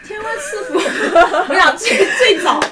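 A woman talking in a casual group chat as a podcast panelist, with an animated, gossipy and emphatic way of talking. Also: very high-pitched, a little slow, a crowd in the background.

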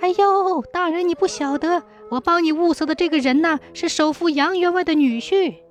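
A woman performing a high-pitched, brash, wheedling matchmaker. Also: normal speed, soft background music. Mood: urgent coaxing. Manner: exclamatory, wheedling, theatrical. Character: brash, fast-talking old-time matchmaker woman, cajoling and boastful.

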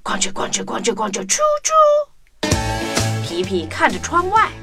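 A woman narrating a scripted children's story in an expressive storytelling manner. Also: medium pitch, moderate pace. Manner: expressive storytelling with sound imitations. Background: music.

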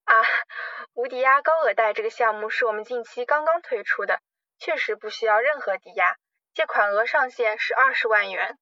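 A woman playing a smooth-talking, scheming loan company manager with polite, formal sales patter in an amateur radio drama. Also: fairly high pitch, moderate pace, sharp tone, no echo, lo-fi sound. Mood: persuasive, reassuring.

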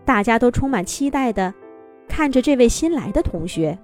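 A woman narrating a children's story in a gentle, expressive, singsong way. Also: a little high, moderate pace. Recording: soft background music.

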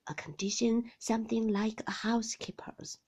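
A woman's voice: a little high, flat delivery, moderate pace, a little mellow, husky. A woman voicing a stern, domineering husband in an audiobook, commanding and pompous, with cold indignation and heavy emphasis. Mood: indignant. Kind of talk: audiobook character acting of a classic novel.

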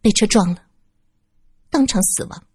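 A woman voicing an earnest young man who speaks in a measured, somber way. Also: mid-range voice, darkish tone, husky, no room sound.

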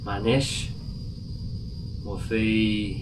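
A man's voice: on the low side, fairly even intonation, drawn-out words. A man playing an earnest, anxious, hopeful astronaut in a science-fiction radio drama. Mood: puzzled, wondering. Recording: clear ambient noise behind voice.

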